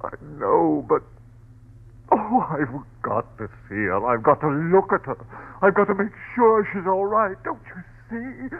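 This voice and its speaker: An actor playing a tormented husband who cries out in despair, in a vintage radio drama. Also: male voice, high-pitched, expressive, a little slow, exaggerated voice. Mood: pleading. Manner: overwrought, sobbing outbursts. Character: tormented husband, grief-stricken and frantic. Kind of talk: 1940s radio acting. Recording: tinny old radio recording.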